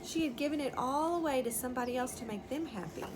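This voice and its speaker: A woman's voice: high voice, fast, background noise. A woman reading a story aloud to young children as a teacher would, explaining and asking simple questions.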